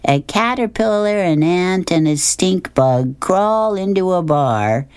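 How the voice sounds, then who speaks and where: A small cartoon spider plays the stand-up comic, acted out by a reader. The voice is pitched up and takes its time, showy and hammy. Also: animated, slow pace, a little mellow, clean tone, no room sound.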